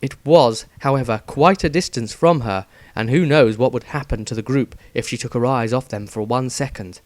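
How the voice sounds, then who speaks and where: A man's voice: medium pitch, moderate pace, dry recording. A man reading scripted fantasy adventure narration as a dramatic storyteller.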